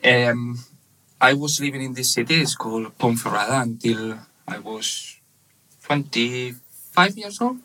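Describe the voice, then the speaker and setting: A man in his thirties from Spain with a Spanish accent, speaking English as a second language, telling his story haltingly in a casual interview with many fillers. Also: medium pitch, bright, forceful tone, poor audio. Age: 30s. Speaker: guest, webshop manager.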